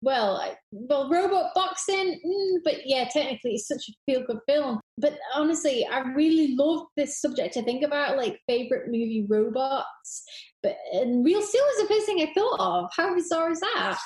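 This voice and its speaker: High-voiced, enthusiastic woman in an echoey room. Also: expressive, moderate pace, darkish tone. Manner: rambling, enthusiastic, gushing. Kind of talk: casual chat. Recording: roomy, echoey sound.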